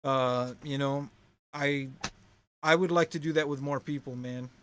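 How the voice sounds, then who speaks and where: A man hosting a relaxed chat about keyboard playing, chatty and full of "you know what I mean". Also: medium pitch, measured pace, slight background noise. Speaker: host, keyboard player. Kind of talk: casual chat about music.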